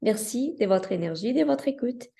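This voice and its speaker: A Brazilian woman with a Brazilian Portuguese accent, a Reiki teacher greeting viewers of her channel in a slow, earnest, softly hesitant way, speaking outdoors in the mountains. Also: a little high, expressive, vocal fry. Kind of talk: spiritual welcome address to viewers.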